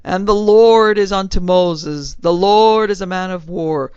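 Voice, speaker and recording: A reader voicing a nasal male preacher who bellows scripture slowly, leaning on his R's. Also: medium pitch, darkish tone, clean tone, dry recording, muffled recording, close to an everyday voice. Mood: thundering.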